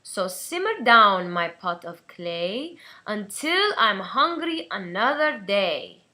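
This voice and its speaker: A woman's voice: mid-range voice, big pitch swings, slow pace, sharp tone, full character voice. A woman voicing an old witch grandmother who chants spells in a singsong way for a storybook. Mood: incantatory, commanding.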